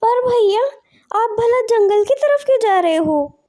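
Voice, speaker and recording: A woman's voice: extremely high pitch, expressive, unhurried, warm tone, voice pushed up. A woman voicing a kindly, troubled village mother who speaks politely and entreatingly in a cartoon moral story. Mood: curious, polite. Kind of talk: animated moral story dialogue.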